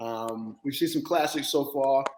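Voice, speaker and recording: A man hosting a casual sports and culture talk show, animated and quick to jump in over the others. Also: mid-range voice. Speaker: podcast host. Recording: echoey room with faint noise.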